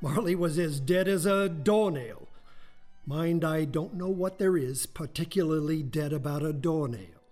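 A man's voice: fairly high pitch, measured pace, darkish tone, faint music behind, lightly acted. A man playing a wry storytelling narrator in a radio play, dramatic and emphatic, savouring his words. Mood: wry, conversational.

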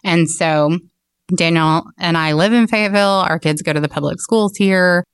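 A woman's voice: on the low side, moderate pace, clean tone, dry recording. A woman with an American accent explaining things casually as a shop owner being interviewed. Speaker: bookstore owner, interview guest.

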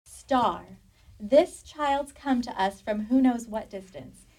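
A woman's voice: a little high, animated, slow, a little mellow, heavily acted. A woman playing a bustling, bossy nun fussing over a baby in a community radio play. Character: fussy, doting nun caring for a baby. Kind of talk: community radio drama acting.

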